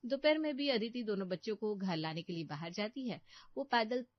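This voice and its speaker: A woman narrates a scripted family story in a mid-pitched voice. The recording is very dry and a little dull. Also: expressive, brisk, no room sound.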